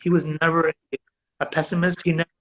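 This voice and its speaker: A man's voice: a little high, monotone, rapid-fire, poor audio. A man whose first language is Urdu, a guest in a remote interview, explaining with enthusiasm and frequent restarts. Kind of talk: conversational interview.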